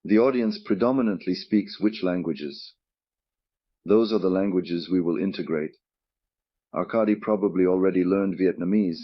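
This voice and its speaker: A man's voice: sits low, normal speed, no room sound, dull audio. A man whose first language is Russian, presenting a game project in casual, enthusiastic livestream chat.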